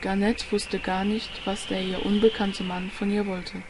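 A woman's voice: medium pitch, flat delivery, unhurried, noisy background. A woman reading scripted narration for an audio drama. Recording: music under the voice. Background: music.

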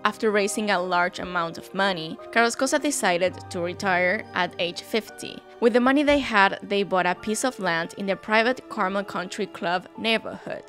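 A woman's voice: mid-range voice, moderate pace, few pauses, fairly bright tone. A young woman with an Argentine Spanish accent, Spanish her first language, narrates a true crime case in a casual, chatty way, often turning to the viewer with little asides. She talks into a studio mic on a stand in a room at home, with faint music underneath.

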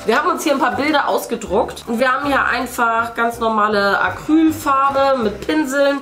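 A woman's voice: high-pitched, moderate pace, a bit bright. A middle-aged woman hosting a children's craft video, casually explaining things to young viewers. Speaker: host of a children's craft channel. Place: indoor room, seated at a craft table.

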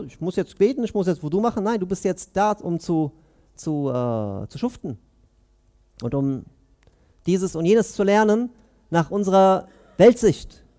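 Earnest high-voiced man preaching. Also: mellow. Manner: earnest, questioning, pauses between phrases. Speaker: imam giving Friday sermon. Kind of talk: sermon. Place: mosque prayer hall, indoors. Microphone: headset mic.

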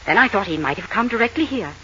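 A woman's voice: mid-range voice, expressive, quick pace, fairly bright tone, close to an everyday voice. An actress playing a worried, earnest female office secretary in a 1940s radio drama. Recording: dull, hissy 1940s radio broadcast.

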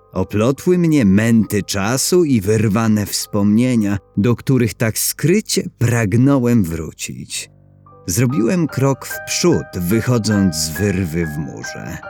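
A man's voice: on the low side, darkish tone. A man narrating a scripted short story in the first person, as for an audiobook.

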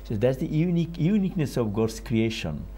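An older man from Bangladesh, speaking as an author on a TV panel in a studio, measured and rising for emphasis. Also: mid-range voice, expressive, mellow, breathy edge. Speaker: author guest. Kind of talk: TV panel discussion. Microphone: lapel.

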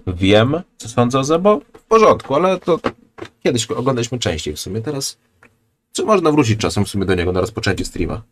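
A man's voice: mid-range voice, very animated, brisk. A man streaming, reacting to a video with offhand casual comments.

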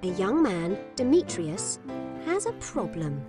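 A woman narrating a children's story in simple, clearly scripted lines with expressive delivery. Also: mid-range voice, slow. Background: music.

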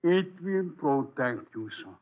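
A servile, evasive manservant in a vintage radio play. He talks slowly and haltingly, stammering with nervous deference. The audio is thin and band-limited like an old broadcast. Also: mid-range voice, animated, phone-quality sound. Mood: nervously reassuring. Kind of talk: 1940s radio drama acting.

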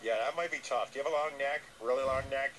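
A man's voice: mid-range voice, fast, lightly acted. A man voicing a nervous, earnest, dim-witted llama who hesitates and repeats himself on a comic radio call. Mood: apologetic, rambling. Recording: noisy re-recording of radio playback.

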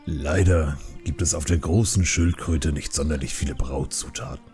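Old panda brewmaster, played by a man: very low voice, jovial, courteous, kindly storyteller, light music underneath. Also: normal speed, a little mellow. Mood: genial, apologetic. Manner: good-natured, polite, chuckling. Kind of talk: audiobook character acting.

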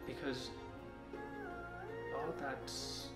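A man in his forties from China, talking in a soft, halting way with many restarts during a seated interview in an art studio. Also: medium pitch, fairly even intonation. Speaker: artist. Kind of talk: reflective interview talk. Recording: roomy studio sound with music behind.

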